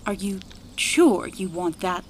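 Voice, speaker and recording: A woman voicing an eerie inhuman entity in a human body for a horror audio drama, speaking in halting, menacing fragments. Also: mid-range voice, expressive, slight background noise. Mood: taunting, menacing. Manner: halting, disjointed, menacing speech.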